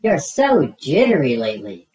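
A man's voice: a little high, huge pitch swings, normal speed, darkish tone, voice pushed up. A man voicing a concerned, mildly fussy mother rabbit in a lilting parental manner, for a storybook.

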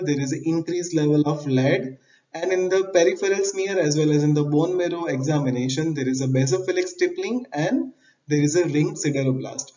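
A man's voice: fairly high pitch, a little mellow. A man in his thirties giving a slide-based medical lecture, explaining step by step and repeating key terms. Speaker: biochemistry lecturer. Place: indoor room, webcam inset. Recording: slightly dull lo-fi audio.

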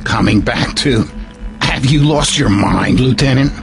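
A man playing a gruff army colonel in an audio drama, irascible, barking and incredulous. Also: mid-range voice, expressive, a little slow, over music. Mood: angry, incredulous.

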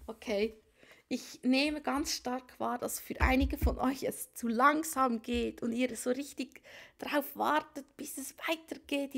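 A woman's voice: high voice, creaky. A woman with a cold doing a casual tarot reading for viewers, musing aloud and often dropping to whispered asides. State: has a cold. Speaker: tarot reader. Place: small room at a card table.

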